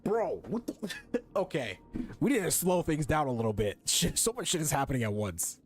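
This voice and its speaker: A man's voice: on the high side, big pitch swings, moderate pace. A man giving casual, slangy reaction commentary as a game streamer, incredulous and prone to swearing. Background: faint game music.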